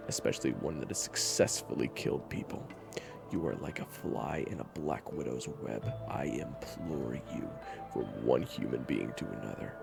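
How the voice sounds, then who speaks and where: A man playing a gruff, jaded vampire hunter in an audio roleplay, blunt and sternly protective as he gives terse orders. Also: very deep voice, exaggerated voice. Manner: blunt, terse, commanding, cursing. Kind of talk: audio roleplay acting addressed to the listener.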